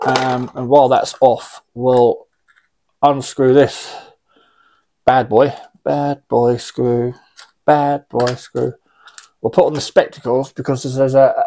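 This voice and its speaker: A man's voice: mid-range voice, vocal fry, mellow. A man in his forties chatting informally and rambling a little as he gives a casual hands-on product demonstration. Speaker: radio hobbyist presenting an unboxing. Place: small indoor radio room.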